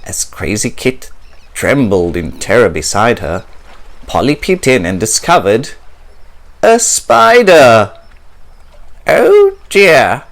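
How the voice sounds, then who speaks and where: A man reading a children's picture book aloud as a storyteller, in a theatrical, expressive way. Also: on the low side, slow pace.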